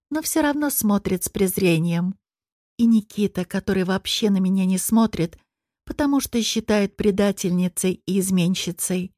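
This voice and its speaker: A woman reading first-person fiction as an expressive audiobook narrator. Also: medium pitch, no echo, clean studio sound.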